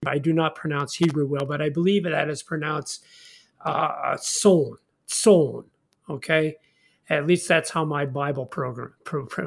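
Guy leading a bible study chat, voice a bit on the high side, folksy, informal, asks lots of leading questions. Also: vocal fry, a bit bright. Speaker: host of a Bible study discussion. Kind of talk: conversational Bible teaching.

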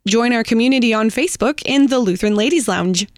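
A woman's voice: a little high, quick pace, dry recording, clean studio sound. A woman podcast host chatting casually and wrapping up the show in a playful, informal manner. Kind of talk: casual chat and show wrap-up.

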